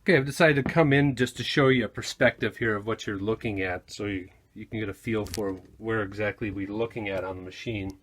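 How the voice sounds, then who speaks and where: A man giving a casual, informal product demo of audio gear, halting a little as he thinks aloud. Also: fairly low pitch, fairly bright tone. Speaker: gear seller demonstrating vintage audio equipment. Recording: noticeable background noise, amateur demo recording.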